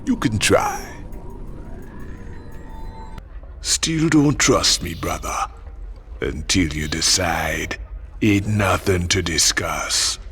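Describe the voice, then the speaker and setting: An actor playing a sly, menacing psychic assassin and pirate in a video game cutscene, with a cool, taunting manner and a breathy growl. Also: male voice, sits low, sing-song, a bit bright, soft background music, full character voice. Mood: guarded, defiant. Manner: cool, taunting, drawn-out familiar address.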